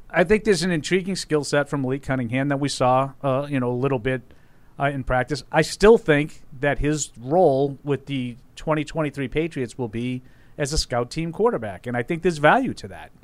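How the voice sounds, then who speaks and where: A man's voice: medium pitch, animated, normal speed, no room sound. A man from the Boston area with a Boston accent, co-hosting a sports radio show and arguing his football analysis. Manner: firm, argumentative analysis. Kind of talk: casual sports radio chat.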